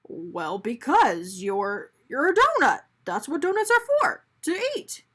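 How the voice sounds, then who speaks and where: A woman's voice: fairly high pitch, wildly swooping pitch, slow, sharp tone, exaggerated voice. A woman voicing a flustered, sheepish man in a children's storybook, hesitant and stammering. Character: flustered, sheepish man caught out by his doughnut.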